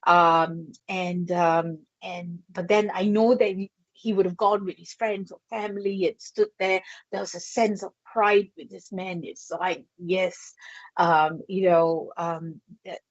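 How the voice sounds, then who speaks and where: A woman with a Yorkshire accent, working as a psychic medium, speaks slowly and hesitantly, pausing on fillers as she thinks aloud. The recording is dry and a little dull, with no room sound. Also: fairly low pitch, fairly even intonation, no room sound. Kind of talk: live psychic reading on air.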